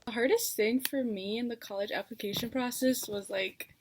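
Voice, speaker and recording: A woman's voice: fairly high pitch, moderate pace, slight background noise. A young woman student thinking aloud in a chatty way, with many filler words. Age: young adult. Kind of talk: casual personal account.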